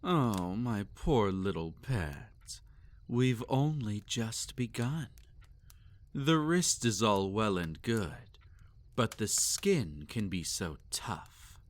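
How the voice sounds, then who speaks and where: Man playing a slow, possessive, sadistic male vampire. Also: fairly low pitch, animated. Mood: savoring, coaxing. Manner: theatrical, savoring, teasing and condescending. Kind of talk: intimate roleplay audio acting.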